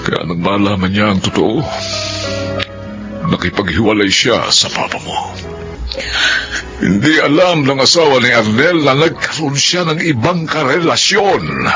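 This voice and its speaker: Stern Filipino uncle over music. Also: male voice, mid-range voice, lively intonation, unhurried, pauses often, sharp tone. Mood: grave, explaining. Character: stern, protective uncle breaking painful family news. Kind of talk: Philippine radio drama acting.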